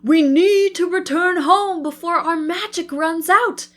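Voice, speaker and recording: A woman's voice: extremely high pitch, measured pace, pitched above the performer's own voice. A woman voicing a brave little male teddy bear space captain, declaring commands with determination in a children's storybook. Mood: bold, urgent.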